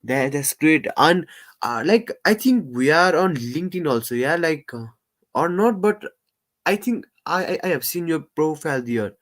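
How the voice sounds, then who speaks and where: Halting, stammering man on a livestream. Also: a little high, animated, fairly bright tone. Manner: halting, many fillers and restarts, says he stammers. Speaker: livestream host. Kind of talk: casual live stream chat.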